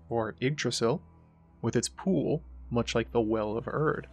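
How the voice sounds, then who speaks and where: A man with a mid-range voice hosts and narrates a scripted essay. He delivers it as prepared commentary, explaining his points in full, composed sentences. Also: mid-range voice. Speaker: host of a book and mythology analysis show.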